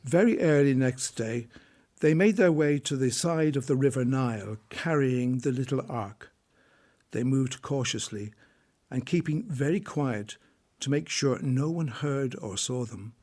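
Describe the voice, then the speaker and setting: Mellow, husky male story narrator. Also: medium pitch, a little slow.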